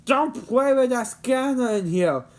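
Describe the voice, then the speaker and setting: A bossy little head elf man from a storybook, put on by a woman. He scolds indignantly in a high voice with big swings of pitch. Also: animated, unhurried, a little mellow. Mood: scolding.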